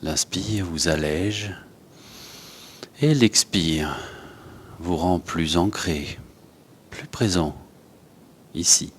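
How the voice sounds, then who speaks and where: Deep-voiced man guiding yoga. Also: slight background noise. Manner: unhurried, instructing in short phrases with pauses. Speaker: yoga teacher. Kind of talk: guided yoga and breathing instruction.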